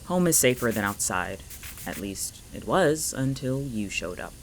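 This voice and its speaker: An actor plays a guarded, irritable loner with a curt, exasperated edge. The sarcasm is dry but the pitch moves around a lot. Light ambient noise sits behind this audio drama voice. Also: sing-song, a little slow, low-quality recording. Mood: curt, sarcastic. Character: irritable, guarded, sarcastic homebody, snapping back. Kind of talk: fiction podcast acting.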